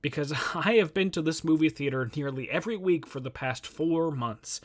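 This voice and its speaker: A man plays a nervous young nonbinary film fan in a stage monologue. The voice sits fairly high for a male one. The speech rambles and stumbles over itself, self-deprecating throughout. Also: lightly acted. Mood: wry, self-deprecating. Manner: halting, rambling, self-interrupting. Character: anxious, self-deprecating nonbinary young moviegoer talking to a poster. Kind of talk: dramatic monologue reading.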